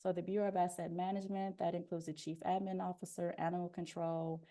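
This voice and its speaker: A soft-spoken woman presenting an informational webinar as a procurement officer, explaining steadily with frequent "um" fillers, speaking into a headphone mic on a video call. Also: on the low side, little pitch movement, fast talker.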